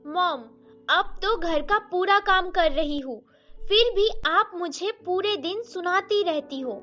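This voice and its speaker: A woman playing a sharp-tongued, argumentative young daughter-in-law in a family story. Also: extremely high pitch, slow, lo-fi sound, faint music behind, voice pushed up, heavily acted. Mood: irritated, defiant. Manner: argumentative, back-talking.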